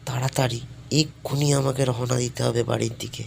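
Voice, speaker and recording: Gravelly male storyteller over loud music. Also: mid-range voice, level tone, fairly quick. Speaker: storyteller narrator. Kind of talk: scripted horror story narration. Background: loud background music.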